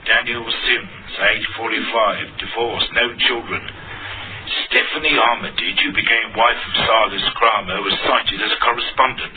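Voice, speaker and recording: An actor playing a brisk, dutiful police officer in a radio drama, reporting in a clipped, businesslike way. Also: male voice, fairly low pitch, close to an everyday voice. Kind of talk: old-time radio crime drama. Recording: narrow-band old radio broadcast, music behind.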